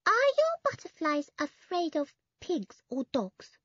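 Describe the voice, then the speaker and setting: A woman voicing an excitable little boy who speaks plaintively in childish grammar. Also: very high-pitched, takes it slowly, no room sound, highs cut off, voice pushed up, exaggerated voice. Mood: eager. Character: excited, tearful little boy trailing after his big brother.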